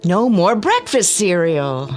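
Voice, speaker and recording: Harried suburban mother, American, scolding and worried, lowish but very animated, radio drama. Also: slow pace, over music, exaggerated voice. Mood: exasperated. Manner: scolding, fretful. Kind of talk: naturalistic radio drama dialogue.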